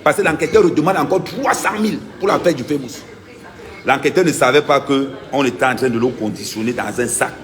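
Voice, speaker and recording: A man's voice: mid-range voice, a little fast, a bit bright. A man, an activist vlogger giving an insistent, emphatic first-person account and repeating his key points.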